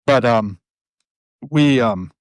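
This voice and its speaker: A man's voice: mid-range voice, drawn-out words, no echo, studio quality. A middle-aged man hosting a casual live video chat, talking in long, rambling, digressive stretches. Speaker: host. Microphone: video call.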